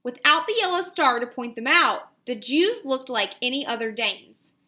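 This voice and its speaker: A woman, an elementary teacher reading a picture book aloud and giving pupils instructions in an expressive, deliberate way. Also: high voice.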